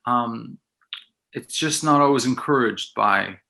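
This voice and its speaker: A middle-aged man whose first language is Italian, reflecting on his work as a filmmaker in a relaxed interview. His voice is bright and forward, and he circles back on his words often as he thinks aloud. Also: medium pitch, slow, vocal fry. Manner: reflective, many restarts and repeated words. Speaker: filmmaker guest. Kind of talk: casual interview chat.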